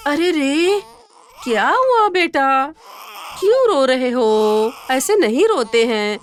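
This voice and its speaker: A woman's voice: high voice, sing-song, a little fast, a bit bright. A woman voicing a cruel cartoon stepmother who scolds shrilly and dotes on her own son. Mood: doting. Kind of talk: cartoon voice acting.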